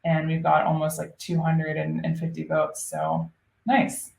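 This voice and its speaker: A woman's voice: low-pitched, completely flat delivery, measured pace, creaky, darkish tone, roomy sound. A woman hosting a live online webinar as a solutions engineer, chatty and upbeat with frequent ums.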